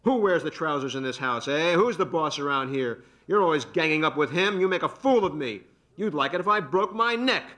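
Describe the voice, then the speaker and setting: A man's voice: fairly high pitch, expressive, a little fast, a bit bright. A man playing a blustering clock-hearted husband. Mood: ranting. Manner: blustering, domineering, ranting. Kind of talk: staged play reading.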